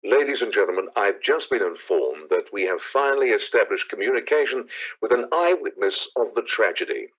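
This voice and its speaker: A man's voice: lowish voice, animated, a little fast. An actor playing a formal male studio news announcer, urgent but composed, reading bulletins in a 1930s-style radio drama. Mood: grave, urgent. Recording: thin, phone-like vintage radio sound.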